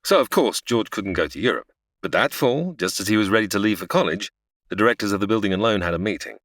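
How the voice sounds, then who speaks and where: A man's voice: lowish voice, expressive, fast talker, fairly bright tone, dry recording, studio quality. A man playing a patient, slightly flustered angel who narrates and explains a story in a comedy audio drama. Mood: storytelling.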